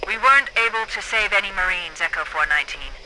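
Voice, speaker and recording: A woman's voice: medium pitch, animated, fast talker, bright, forceful tone, poor audio. An actress playing a composed, authoritative female military artificial intelligence in a video game, giving clipped commands through a radio filter. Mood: brisk, authoritative. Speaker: AI tactical radio coordinator.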